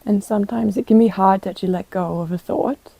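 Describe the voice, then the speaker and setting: Calm woman yoga teacher doing guided meditation, soft mellow tone, lots of vocal fry, unhurried. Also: mid-range voice, no echo. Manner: calm, gentle, unhurried instruction with pauses.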